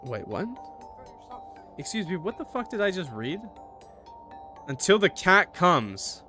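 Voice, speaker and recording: Young male streamer, casual and loud, cracks crude jokes to chat. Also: high voice, very animated, takes it slowly, soft background music. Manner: loud, profane, joking asides to chat. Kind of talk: casual gaming commentary.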